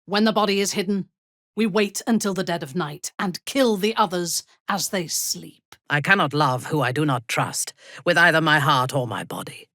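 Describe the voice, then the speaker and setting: An actress voicing a ruthless, imperious dark elf warband commander in a video game, with a commanding, deliberate and menacing delivery. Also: female voice, fairly low pitch, no room sound, studio quality, lightly acted. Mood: cool, deliberate. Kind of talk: dramatic video game voice acting.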